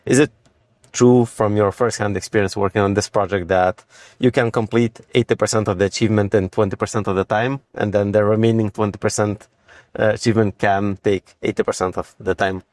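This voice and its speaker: A man's voice: lowish voice, steady tone, a little fast, a little mellow, no echo, studio quality. A man hosting an interview show, asking questions in a casual conversational style. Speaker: podcast host, interviewer.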